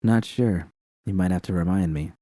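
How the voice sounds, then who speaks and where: A man's voice: sits low, normal speed, mellow, dry recording, studio quality, close to an everyday voice. A man putting on a blunt young mercenary woman. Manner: blunt, teasing, cautious.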